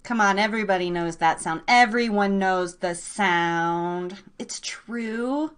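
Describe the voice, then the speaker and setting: A woman with an excitable, informal manner, chatting casually as the live host of a guessing game and cheering on players in slangy language. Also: medium pitch, slow, slight background noise. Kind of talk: casual live radio game-show chat.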